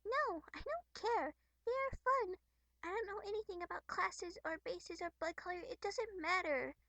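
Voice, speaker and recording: A woman voicing a playful, excitable, catlike teenage troll girl with a childlike, teasing manner. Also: very high voice, moderate pace, no room sound, voice pushed up, full character voice. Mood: teasing indignation.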